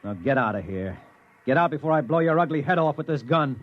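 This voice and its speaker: Casting: man as tough, blunt spaceman, fairly high voice, vintage radio sci-fi, muffled recording with music. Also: lively intonation, a little fast, natural voice. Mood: angry, threatening. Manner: gruff, blunt, tough-talking. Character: hard-bitten, short-tempered human space explorer. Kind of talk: 1950s radio drama acting and narration.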